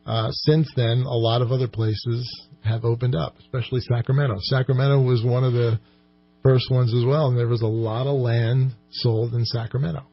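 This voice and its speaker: A man answering as a guest in an informal radio interview, explaining things in a measured, halting way. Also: medium pitch, fairly even intonation, breathy edge, muffled recording, soft background music. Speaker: economic development corporation president, interview guest.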